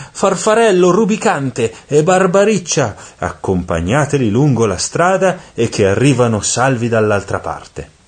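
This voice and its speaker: A menacing devil chief from a storybook, acted out by a male narrator, shouting high and raspy. He barks orders in long, swooping, drawn-out cries. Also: heavily acted. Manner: drawn-out, barking roll calls and orders.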